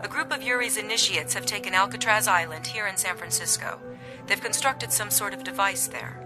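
An actress playing a young, composed military officer, giving a serious, clipped game briefing. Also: female voice, mid-range voice, brisk, music in the background, close to an everyday voice. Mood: matter-of-fact.

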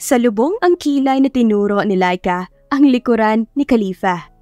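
A Filipino woman narrates a scripted drama in a fairly high voice. The sound is close and dry, with faint music underneath. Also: animated, normal speed, no room sound. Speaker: audiobook narrator. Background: soft background music.

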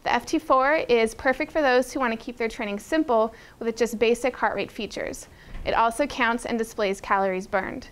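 A young woman with a voice a little higher than most presents sports gear in a studio. She reads her script in an upbeat, steady way, stumbling on a word now and then. She is picked up by a clip-on lapel mic. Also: normal speed, clean tone. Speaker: retail product presenter. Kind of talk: scripted product rundown. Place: studio with a plain grey backdrop.

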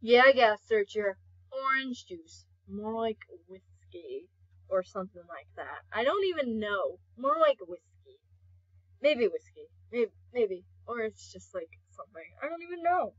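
A young woman rambling casually as an amateur comic dubber, excitable and self-deprecating. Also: a little high, measured pace. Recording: dull lo-fi home recording.

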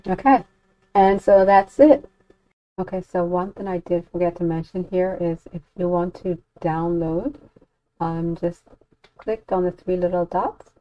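A woman giving a casual software walkthrough as a tutorial host, talking as she works through the steps. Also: fairly low pitch, measured pace, dry recording. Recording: dull lo-fi home recording.

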